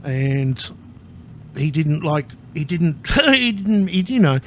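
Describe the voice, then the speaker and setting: A man's voice: on the high side, big pitch swings, slow pace, a little hiss or hum. A man talking straight to camera in a casual vlog, rambling, candid and profane, with an anxious, agitated edge. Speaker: vlogger.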